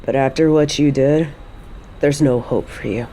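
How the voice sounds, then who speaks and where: A woman's voice: very deep voice, steady tone, normal speed, warm tone, a little hiss or hum, low-quality recording. A woman playing a fed-up, defiant mother confronting her toxic ex in a dramatic audio roleplay, scornful and emphatic.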